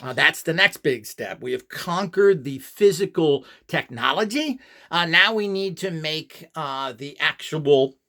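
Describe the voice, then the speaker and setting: Emphatic man, higher voice, very animated delivery. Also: measured pace. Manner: emphatic, scornful, rhetorical questions. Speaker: host of an instructional channel. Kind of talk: opinionated monologue to camera or listeners.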